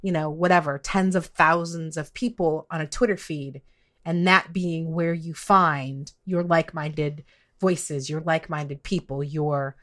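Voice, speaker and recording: A woman's voice: sits low, fairly even intonation, a little slow. A woman in her late forties talking casually but emphatically as a co-host in a podcast discussion. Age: late 40s. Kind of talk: casual conversation on politics.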